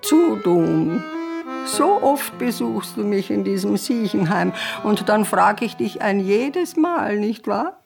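Radio drama role: elderly former stage star, weary and theatrical, gravelly voice, unhurried delivery. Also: female voice, medium pitch, big pitch swings, over music, exaggerated voice. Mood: weary, bitter. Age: older. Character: frail, weary old woman, former stage actress in care home.

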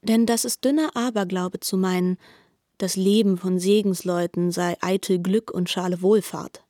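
A woman narrating a literary radio drama in a measured, articulate way. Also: lowish voice, steady tone, warm tone, no echo, clean studio sound.

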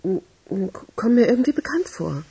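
A woman playing a reserved, bookish young translator in a radio drama, hesitant and dry, with a husky voice. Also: mid-range voice, sing-song, fairly quick, mellow, heavily acted, rough recording. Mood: guarded, curious. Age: young adult. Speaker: actor in a radio crime drama.

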